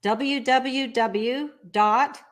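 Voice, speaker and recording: A woman speaks as a guest entrepreneur in an interview. Her pitch is a little higher than average, and her delivery is conversational. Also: extremely slow.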